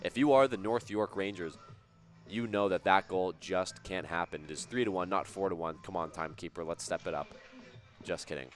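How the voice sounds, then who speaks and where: A man calling a youth hockey game play-by-play, his voice a little hoarse and raspy, with brisk, chatty calls that rise sharply on chances and goals, plus joking asides. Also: low voice, faint music behind. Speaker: play-by-play commentator. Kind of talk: live hockey play-by-play commentary.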